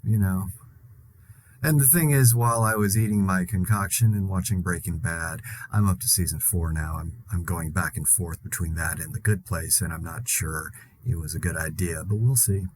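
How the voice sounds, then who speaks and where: An actor plays an anxious man broadcasting alone through a zombie apocalypse in an audio drama. His voice sits fairly low. He talks in a conversational, confessional way, rambling and halting, with a dry sardonic edge. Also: fairly even intonation, a little hiss or hum, close to an everyday voice. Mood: rambling, sheepish. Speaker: podcast host in a zombie-outbreak audio drama.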